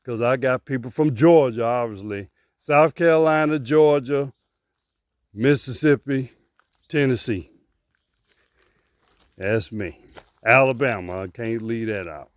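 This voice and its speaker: A man from Chicago hosting a casual on-the-street interview, friendly and affirming, chiming in with quick agreement. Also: mid-range voice, no room sound, studio quality. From Chicago, USA. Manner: friendly, affirming, lots of "yeah" and "mm-hmm". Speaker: vlogger host.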